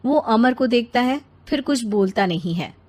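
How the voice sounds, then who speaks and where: A woman narrating an animated moral story in a scripted storytelling style. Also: fairly high pitch, moderate pace.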